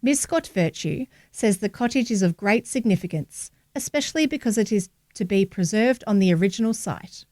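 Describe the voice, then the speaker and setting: A woman's voice: mid-range voice, lively intonation, moderate pace, few pauses, a little mellow, dry recording. A woman reading a local newspaper article aloud as scripted narration.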